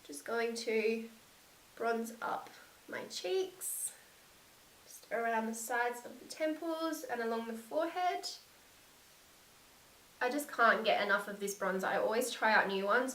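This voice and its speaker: A young woman with a slightly croaky voice from a cold, narrating a makeup tutorial and gushing softly about the products. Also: on the high side, far from the mic, a little hiss or hum. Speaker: beauty vlogger. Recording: echoey room, set back from mic.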